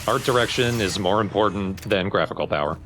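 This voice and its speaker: A man's voice: on the low side, brisk, a bit bright, faint music behind. A man making brief, dry, casual remarks as a co-commentator on gameplay. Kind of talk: casual chat over gameplay.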